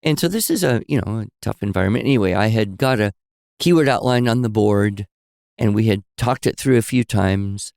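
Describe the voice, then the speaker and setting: A man co-hosting a podcast, telling stories in a relaxed conversational way and explaining with examples and asides. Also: lowish voice, fairly quick, vocal fry, no echo, studio quality. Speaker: podcast co-host. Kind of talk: conversational storytelling.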